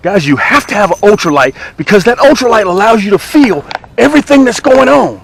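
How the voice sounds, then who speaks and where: A man with a fairly high voice and very lively, swooping intonation hosts a fishing vlog. He talks in exclamations and repeats phrases for emphasis. He is outdoors, with clear background noise around him. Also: a little fast, low-quality recording. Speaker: fishing show host. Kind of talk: excited fishing vlog chat, demonstrating and selling tackle.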